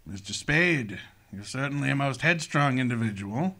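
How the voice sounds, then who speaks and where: An actor playing a genial, cunning crime boss in a radio play, talking with pompous, elaborate politeness. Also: male voice, mid-range voice, lively intonation, a little slow, bright, forceful tone, exaggerated voice. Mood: smoothly menacing.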